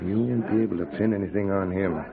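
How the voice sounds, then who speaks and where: An actor playing a folksy, wary frontier cafe keeper with a gravelly, homespun drawl in old radio drama style. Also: male voice, low-pitched, fast talker, crowd noise. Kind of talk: 1950s radio drama acting. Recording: noisy, narrow-band old radio recording.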